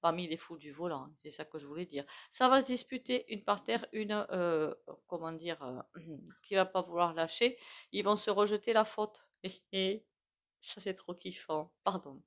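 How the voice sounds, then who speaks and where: A woman giving a casual, rambling tarot reading, full of fillers and frequent throat clearing. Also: fairly low pitch, unhurried. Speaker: tarot reader.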